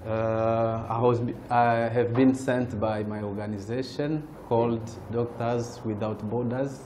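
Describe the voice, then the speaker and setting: A middle-aged man with a Burundian accent, speaking haltingly and hesitantly as a student being interviewed. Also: medium pitch, fairly even intonation, warm tone, slight background noise. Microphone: lapel.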